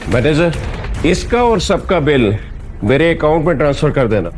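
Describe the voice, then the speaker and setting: A man's voice: fairly high pitch, very animated, normal speed, faint music behind. A man playing a powerful, commanding business magnate in an audio drama. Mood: curt, commanding.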